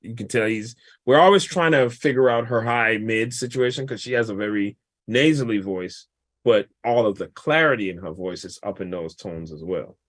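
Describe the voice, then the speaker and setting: A man, a guest mix engineer, casually walking through a vocal mixing session in a relaxed, explanatory, colloquial way. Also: lowish voice, moderate pace, no echo.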